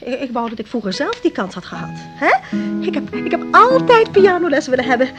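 A woman's voice: high-pitched, huge pitch swings, a little fast, over loud music, exaggerated voice. An actress playing a middle-aged, doting and pushy mother in a Dutch radio play, exclaiming and easily upset. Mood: wistful. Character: doting, pushy, fretful mother of a musical daughter.

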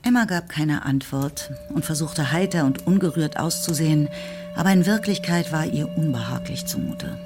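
A man narrating a radio drama in a measured storytelling manner. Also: a little high, darkish tone, faint music behind.

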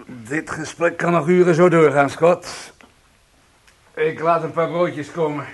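An actor playing a hard, smug police interrogator in a radio crime drama, curt and taunting. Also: male voice, on the high side, fairly even intonation, a little slow. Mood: pressing. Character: hard, needling male police interrogator, smugly in control.

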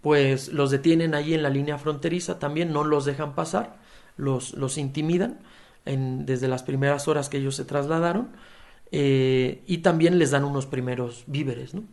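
Man, gravelly voice, a bit high, halting interview answer. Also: steady tone, a little mellow. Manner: hesitant, many fillers and restarts. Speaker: human rights advocate interviewed.

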